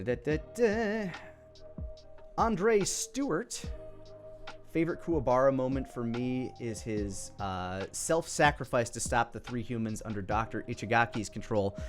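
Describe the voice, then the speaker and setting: A man in his thirties with an American accent giving casual, off-the-cuff commentary in a rambling way, often interrupting himself. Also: mid-range voice. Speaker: video creator. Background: background music.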